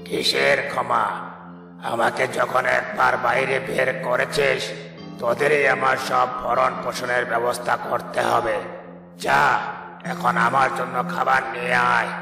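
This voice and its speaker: A greedy cartoon genie with an extremely gravelly voice. He bullies and gloats like a tyrant, his words ringing in a long, distant reverb over music. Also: mid-range voice, level tone, a little slow, pushed and bright. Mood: demanding. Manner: bullying, commanding, gloating. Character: menacing, greedy genie woken from ancient sleep. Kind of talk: cartoon fairy-tale voice acting.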